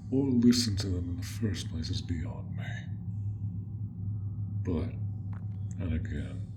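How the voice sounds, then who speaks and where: A man's voice: sits low, noisy background. A man voicing a courteous, awkward male dragon, proud but smitten, with formal old-fashioned phrasing in a scripted roleplay addressed to the listener. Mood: disdainful.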